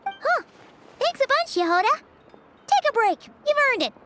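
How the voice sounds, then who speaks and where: Tiny squeaky fairy sidekick for a game, super perky n chatty, voice bounces everywhere, music behind. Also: female voice, sing-song, moderate pace, fairly bright tone, full character voice. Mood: chirpy. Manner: perky, exclamatory, speaks of self in third person. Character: small cheerful childlike fairy companion, female, squeaky and chatty. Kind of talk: video game voice acting.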